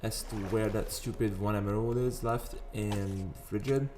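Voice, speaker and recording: Man, raspy morning voice, lowish pitch, rambling gameplay chatter, faint music underneath. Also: fairly even intonation, a little slow, a little mellow. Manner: chatty, rambling, wanders into sidetracks. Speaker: gaming video host. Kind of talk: casual gameplay commentary. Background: faint background music.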